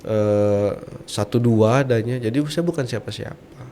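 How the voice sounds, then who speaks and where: A man's voice: lowish voice, measured pace, warm tone, a little hiss or hum. A man from Bali, apparently middle-aged, talking as a podcast guest and preacher, telling stories with rhetorical questions. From Bali, Indonesia. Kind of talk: conversational religious podcast talk.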